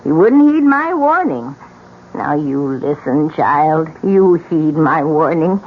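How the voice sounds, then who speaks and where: An actress playing an old widow in a radio drama, grave, insistent and full of dramatic warnings. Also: female voice, deep voice, lively intonation, full character voice. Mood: ominous warning. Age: older. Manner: grave, dramatic, slow pronouncements. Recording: dull, noisy old radio recording.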